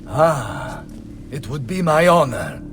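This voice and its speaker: Boisterous, swinging Italian mercenary captain. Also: male voice, mid-range voice, sing-song, slow pace, a bit bright, a little hiss or hum. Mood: hearty, earnest. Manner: blustering, theatrical. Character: boisterous, defiant Italian Renaissance mercenary captain, bold and hearty. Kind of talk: video game cutscene acting.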